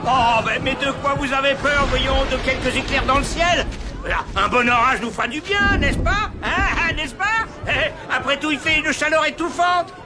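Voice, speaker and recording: An actor voicing a defiant male rabble-rouser in an animated Bible film, heckling with theatrical sneers. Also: very high-pitched, normal speed, very noisy. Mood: dismissive. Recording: loud music and crowd behind. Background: music and crowd.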